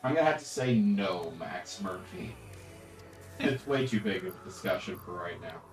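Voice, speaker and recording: A man's voice: deep voice, expressive, normal speed, echoey room, distant mic, soft background music. A man hosting a gaming livestream, reading out chat and bossing his partner around in a dry manner. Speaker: livestream host. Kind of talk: casual chat while gaming.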